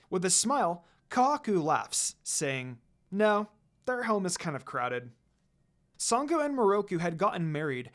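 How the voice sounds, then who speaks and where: Lively man reading a story aloud. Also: sits high. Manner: animated, repeats words for emphasis. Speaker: fan fiction reader. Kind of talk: reading fan fiction aloud, then casual commentary.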